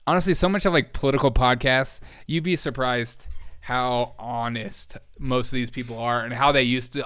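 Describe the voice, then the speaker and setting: A man's voice: medium pitch, a bit bright, smooth, dry recording. Casual male streamer, clean close sound. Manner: informal, rambling, chuckles often. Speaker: host of a livestream show. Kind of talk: casual livestream reaction chat.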